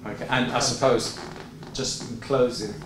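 A man's voice: medium pitch, steady tone, moderate pace, over music. A man, interviewing a barber and adding matter-of-fact technical explanations. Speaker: interviewer, shop owner. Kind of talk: casual interview chat. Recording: echoey off-mic room with background noise.

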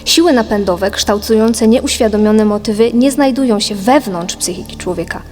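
A woman reading a scripted philosophical audiobook in a measured, didactic manner. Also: medium pitch, darkish tone, a little hiss or hum, close to an everyday voice. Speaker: audiobook narrator. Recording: long reverb, music behind. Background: music.

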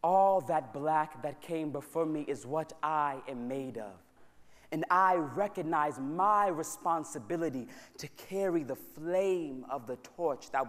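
Man, high voice, unhurried spoken word recital, reverberant sanctuary. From United States. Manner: rhythmic, rhyming, with emphatic slow repetitions. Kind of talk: spoken word poetry performance. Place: church sanctuary during a live concert. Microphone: stage microphone. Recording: long hall reverb from a large church.

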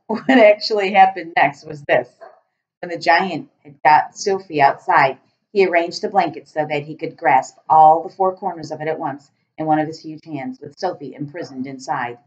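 A middle-aged woman, a teacher, reading a children's storybook aloud with deliberate, expressive phrasing, recorded at home on a laptop. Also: low voice. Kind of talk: storybook read-aloud narration. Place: small room at home, indoors, beside a kitchen. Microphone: laptop webcam at arm's length. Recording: dull lo-fi webcam sound.

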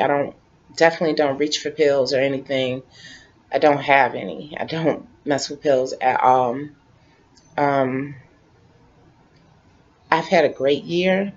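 A woman in her late forties chatting casually to camera from her living room couch, relaxed and rambling, repeating herself as she talks. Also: deep voice. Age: 40s. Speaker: vlogger. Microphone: webcam. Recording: dull lo-fi webcam audio.

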